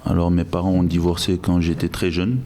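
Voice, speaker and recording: A man who grew up in Guinea, speaking as a guest in a podcast interview in a measured, reflective way with frequent restarts. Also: low-pitched, completely flat delivery, dark tone. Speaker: guest, logistics director.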